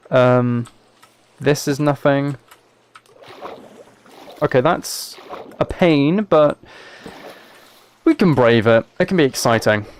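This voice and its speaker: A man with a mid-pitched voice chats casually as he plays a game on a livestream. He rambles along, thinking aloud in an understated way. He speaks into a microphone set up in front of him. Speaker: live streamer playing a video game. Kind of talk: casual gameplay livestream chat.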